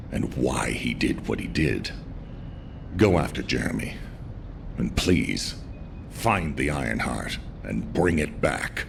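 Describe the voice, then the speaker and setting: Stern commander, deep, gravelly, weary, authoritative, clipped game briefing. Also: male voice, exaggerated voice. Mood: heavy, resolute. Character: stern, weary male military commander, duty-bound and intense. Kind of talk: scripted game dialogue. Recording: faint rumbling background noise.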